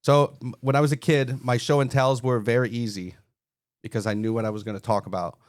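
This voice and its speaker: A man's voice: mid-range voice, fairly even intonation, fairly quick, dry recording, clean studio sound. A man in his forties from Montreal with a Quebec French accent, French his first language, telling stories easygoing as a firefighter-paramedic guest on a podcast interview. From Montreal, Canada. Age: 40s.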